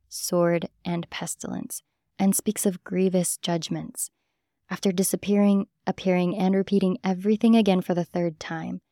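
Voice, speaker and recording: A woman's voice: fairly low pitch, warm tone, no echo, clean studio sound. A woman hosting and reading scripted documentary podcast narration. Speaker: host and narrator.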